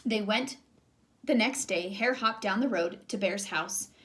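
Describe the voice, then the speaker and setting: A woman's voice: medium pitch, measured pace, a bit bright. A woman teacher reading a children's storybook aloud expressively, speaking directly to young listeners.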